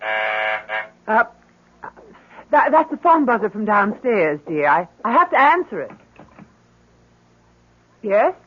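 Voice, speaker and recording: A woman's voice: mid-range voice, wildly swooping pitch, takes it slowly, long pauses, full character voice. An actress playing an anxious, doting middle-aged mother in an old radio drama, hesitant and fretful as she coaxes. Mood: flustered. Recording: dull, hissy vintage radio recording.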